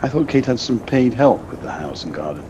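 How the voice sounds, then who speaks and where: A man in an audio play voicing a calm, supportive husband with a measured, slightly hesitant, reassuring way of talking, recorded on a personal device. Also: mid-range voice, highs cut off, a little hiss or hum, lightly acted.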